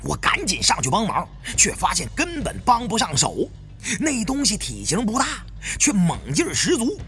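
Very animated male audiobook narrator. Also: sits high. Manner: storyteller's expressive delivery. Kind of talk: dramatic scripted first-person narration.

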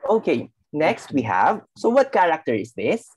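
A woman from the Philippines coaches a beginner through Japanese greetings over a video call. Her voice is slightly husky and dry, and her pitch swings lively as she patiently repeats each word and praises progress. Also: no room sound. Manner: patient, encouraging, repeats phrases slowly. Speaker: Japanese language teacher. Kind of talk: mock teaching interview over video call.

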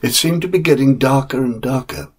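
A man voicing a loyal, plain-spoken dog who narrates a storybook tale in a measured, wistful, husky way. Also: mid-range voice. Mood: wistful, earnest. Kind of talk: dramatic short story reading.

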